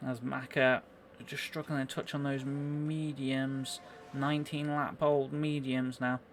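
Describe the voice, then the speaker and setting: A man giving live play-by-play commentary on an online racing game, a little halting as he thinks through the action. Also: mid-range voice, flat delivery, slight background noise.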